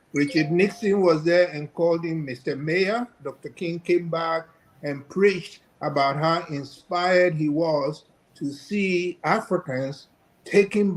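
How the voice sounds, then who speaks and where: An older man from Ghana, a guest professor giving measured, hesitant commentary. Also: fairly high pitch, low-quality recording. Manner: measured, hesitant with many fillers.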